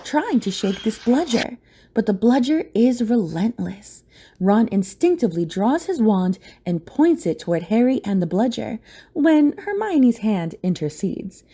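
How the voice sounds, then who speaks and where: A woman's voice: on the high side, mellow, husky. A woman narrator reading a scripted story dramatically for an audio play.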